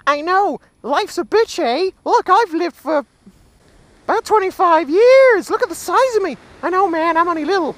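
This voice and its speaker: A man's voice: very high-pitched, lively intonation, moderate pace, fairly bright tone, dry recording, voice pushed up. A man voicing a small, world-weary, cheeky dead oyster in a cartoonish put-on voice. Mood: cheeky, resigned.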